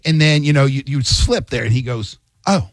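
A middle-aged man co-hosting a radio show, telling stories in an animated, self-mocking way. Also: medium pitch, normal speed, dry recording, studio quality. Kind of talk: casual on-air banter. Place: radio studio.

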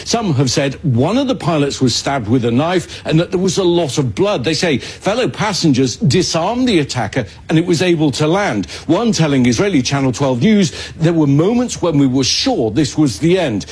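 A man reporting as a foreign correspondent in a measured, formal news delivery. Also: a little high, very animated, darkish tone, husky.

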